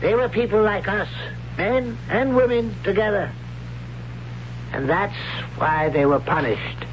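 An actor playing a stern, zealous elderly high priest who speaks solemnly and commandingly, in a 1970s radio drama. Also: male voice, measured pace. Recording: dull lo-fi old radio broadcast with hiss.

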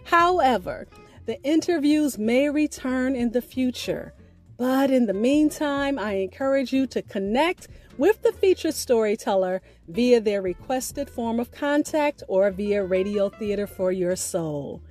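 A woman with a notably high voice hosts a podcast, speaking slowly and deliberately to her listeners. Her delivery is expressive and carefully enunciated, with music faintly present. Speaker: podcast host. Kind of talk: podcast sign-off announcements, addressing listeners.

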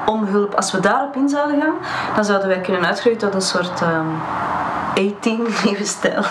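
A woman's voice: mid-range voice, moderate pace, heavy background noise, lightly acted. An actress playing a restless, morbid Flemish woman in her thirties in an audio drama. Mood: musing, wry.

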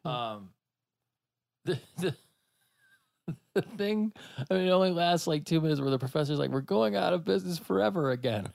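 A man's voice: a little high, expressive, moderate pace, gravelly, long pauses, a little mellow. A man co-hosting a podcast in casual chat. He often stops, restarts his sentences and laughs between thoughts. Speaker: podcast co-host.

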